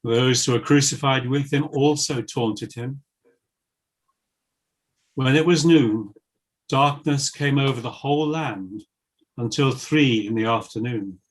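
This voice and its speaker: Husky male scripture narrator. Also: mid-range voice, poor audio. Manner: measured, formal. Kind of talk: solemn scripture reading.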